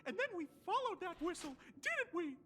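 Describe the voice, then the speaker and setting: Casting: tiny childlike game mascot, cheerful, chirpy, very high and swooping. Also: female voice, sing-song, moderate pace, a bit bright, slight background noise, exaggerated voice. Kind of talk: video game voice acting.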